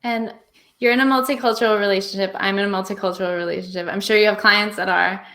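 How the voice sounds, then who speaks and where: A woman's voice: mid-range voice, steady tone, fast talker, a bit bright. A woman hosting a podcast interview, chatty, laughing easily and rephrasing her questions as she goes. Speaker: podcast host.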